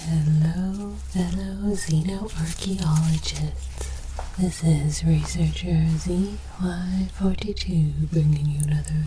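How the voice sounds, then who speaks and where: A woman whispering scripted ASMR narration as an alien archaeologist, with a deliberate, theatrical, mock-scholarly delivery. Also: deep voice, dark tone, slight background noise, poor audio. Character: alien archaeologist researcher, scholarly and condescending about humans. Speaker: host of an ASMR series.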